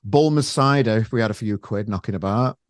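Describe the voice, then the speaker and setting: A man's voice: fairly low pitch, fairly quick, no echo, studio quality. A man in his forties interviewing a guest in a blunt, laid-back casual chat. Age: 40s.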